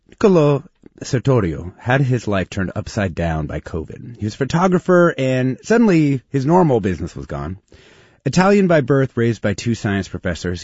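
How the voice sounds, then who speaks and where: American radio host with lively, swooping delivery. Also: male voice, on the low side, breathy edge, no echo, highs cut off. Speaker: radio talk show host. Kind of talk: scripted introduction and live interviewing.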